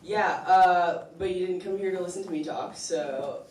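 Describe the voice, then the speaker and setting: A woman's voice: on the low side, moderate pace. A woman giving an informal, hesitant stage introduction at a live comedy show. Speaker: announcer introducing the host. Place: indoor live comedy venue. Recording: distant, echoey, very noisy live room.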